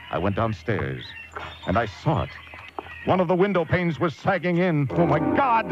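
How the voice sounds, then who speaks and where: Haunted lighthouse keeper telling a horror tale. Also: male voice, mid-range voice. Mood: mounting alarm. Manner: dramatic, vivid storytelling. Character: haunted lighthouse keeper recounting a siege of rats. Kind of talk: first-person radio horror narration. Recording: dull lo-fi radio tape, music behind.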